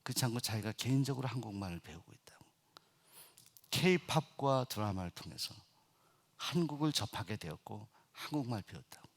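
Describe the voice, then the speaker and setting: A middle-aged man preaching a Sunday sermon as senior pastor. He hesitates often with filler sounds, then builds to loud, emphatic passages. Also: on the low side, expressive, normal speed.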